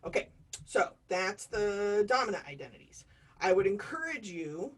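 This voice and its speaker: An American woman in her forties leads an online training course, talking the way she would to a room of colleagues. Her voice sits at a middle pitch. She wanders into quick, self-mocking asides and jokes, then comes back to her point. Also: very animated. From United States. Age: 40s. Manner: chatty, self-deprecating, jokey asides. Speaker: diversity trainer.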